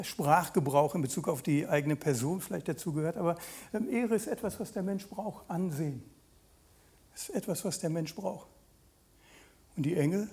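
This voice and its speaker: A man in his late fifties preaching a conversational sermon, pausing mid-sentence and putting questions directly to his listeners. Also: fairly high pitch, a little mellow.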